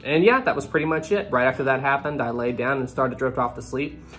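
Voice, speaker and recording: A young man in his twenties telling a travel story to camera in a small room, animated and conversational. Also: mid-range voice, fast talker, soft background music. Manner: animated, conversational, addresses viewers directly. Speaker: travel vlogger.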